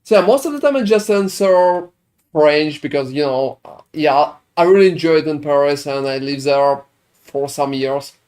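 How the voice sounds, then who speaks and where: A man's voice: a little high, expressive, normal speed, a little mellow. A man from Sweden streaming a video game, chatting casually with viewers, rambling and swearing as he answers their questions. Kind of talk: casual gaming stream chat.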